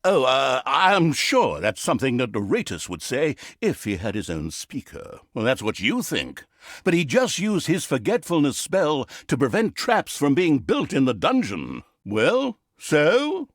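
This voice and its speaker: A man's voice: mid-range voice, wildly swooping pitch, unhurried, no room sound, clean studio sound. A man playing a wry, pompous storyteller narrator in a fantasy game, with grandiose, sarcastic delivery. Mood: sly, smug. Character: wry, pompous male storyteller narrator mocking villains and heroes. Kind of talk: theatrical comic storybook narration.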